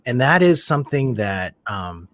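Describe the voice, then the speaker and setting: A man's voice: medium pitch, big pitch swings, moderate pace. A man talking casually as a podcast guest on a video call, reflective and rambling, with many restarts. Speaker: podcast guest, community founder.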